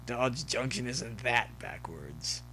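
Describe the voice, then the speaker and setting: A man's voice: medium pitch, expressive, takes it slowly, bright, forceful tone, a little hiss or hum, exaggerated voice. A man voicing a self-deprecating male farm pony student in an audiobook, hesitant and folksy. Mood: joking.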